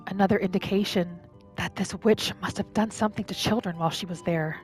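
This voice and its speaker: Gently musing woman over music. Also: medium pitch, fairly even intonation, fairly quick. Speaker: roleplay gaming host. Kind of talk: in-character storytelling game narration. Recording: music behind the voice. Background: background music.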